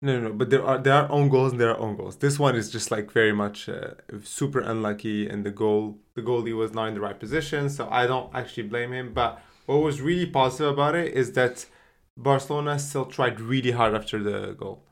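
A man's voice: medium pitch, steady tone, fairly quick. A man co-hosting a football podcast, talking casually with lots of fillers and restarts. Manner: chatty, many fillers and restarts. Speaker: podcast co-host.

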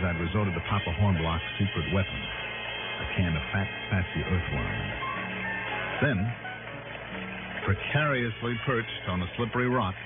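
An actor playing an easygoing, confident investigator with an American accent in a 1960s radio drama. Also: male voice, fairly low pitch, lightly acted. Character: easygoing, confident male investigator narrating his case. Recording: tinny old radio recording.

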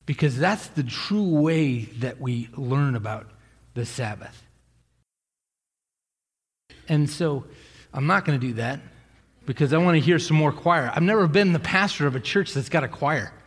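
A man from Massachusetts leads a sermon in a loose, joking way, often breaking off and restarting his sentences. His voice sits a little high for a man. The church sanctuary gives his voice a long, lingering reverb. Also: animated, measured pace, pauses often, husky. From Massachusetts, USA. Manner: casual, joking, self-interrupting preacher. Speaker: pastor. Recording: hall reverb.

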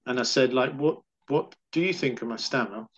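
A man's voice: mid-range voice, normal speed, a bit bright, husky, dull audio. Stammering man in a casual interview. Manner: stammering, with repeated syllables and words. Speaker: interviewee answering quick-fire questions.

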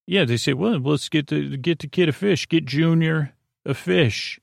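A man hosting a podcast with a meandering, halting bedtime monologue, often losing his thread as he goes. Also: on the high side, gravelly, no echo, clean studio sound. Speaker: podcast host. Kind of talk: rambling, improvised bedtime monologue.

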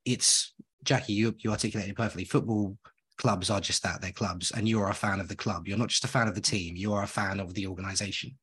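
A man's voice: fairly low pitch, steady tone, fast, fairly bright tone, husky. A man co-hosting a podcast in casual conversation, enthusiastic and rambling, speaking straight to his listeners. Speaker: podcast co-host.

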